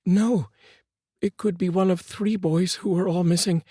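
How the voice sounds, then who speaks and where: A male storyteller voicing a frantic, grieving mother in a high, pleading voice. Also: steady tone, unhurried, warm tone, breathy edge, dry recording, clean studio sound.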